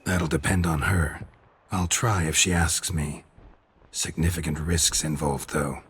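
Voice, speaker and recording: An actor playing a stoic, world-weary monster hunter in a video game, speaking in terse, deadpan lines with a gravelly, husky voice. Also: male voice, a bass voice, a little slow, slight background noise. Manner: terse, deadpan, clipped sentences.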